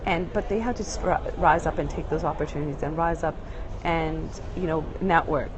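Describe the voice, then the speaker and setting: A woman's voice: low voice, normal speed. A woman speaking casually and thoughtfully about workplace inclusion, with many fillers and restarts, recorded at an airport. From Canada. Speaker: diversity speaker. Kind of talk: casual spoken answer. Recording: noisy airport background. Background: airport announcements and bustle.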